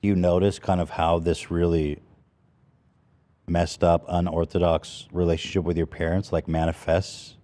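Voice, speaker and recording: Deep-voiced, laid-back podcast host. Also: male voice, level tone, normal speed, mellow. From California. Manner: laid-back, joking, prompts with short questions. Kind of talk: casual interview chat.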